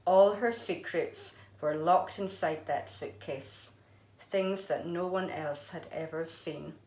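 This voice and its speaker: A woman's voice: fairly low pitch, takes it slowly, darkish tone. A woman, an art teacher, reading a children's storybook aloud expressively. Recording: echoey room.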